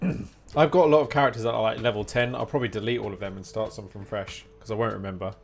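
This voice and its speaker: A man's voice: lowish voice, steady tone, quick pace, vocal fry. Male streamer, casual gaming chat, replies to viewers, music behind. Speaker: live streamer.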